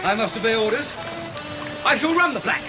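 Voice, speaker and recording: Radio play: hearty, boyish middle-aged Englishman, old-fashioned bluster, very lively high voice. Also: fairly quick, over loud music. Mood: mock-stern. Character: jolly, blustering, boyish middle-aged English writer playing sea captain.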